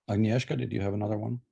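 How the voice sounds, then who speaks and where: He is a man, his voice low and warm. He talks in a thoughtful, scholarly way over a video call, circling back to restart words as he explains their roots. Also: creaky, breathy edge. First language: Finnish. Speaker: presenter answering questions. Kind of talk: academic discussion.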